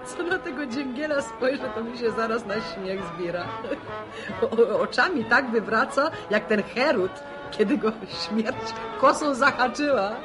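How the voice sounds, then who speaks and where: An actress playing an earthy, teasing middle-aged village wife gossiping in a radio drama. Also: female voice, a little high, lively intonation, measured pace, music in the background, crowd noise. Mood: mocking.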